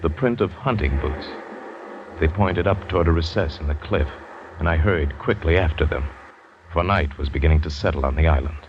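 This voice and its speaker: A man's voice: very deep voice, brisk, heavy background noise. An actor playing a shipwrecked American big-game hunter who narrates his ordeal dramatically in an old-time radio drama. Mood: suspenseful. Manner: dramatic, vivid storytelling. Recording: dull vintage radio recording, music behind.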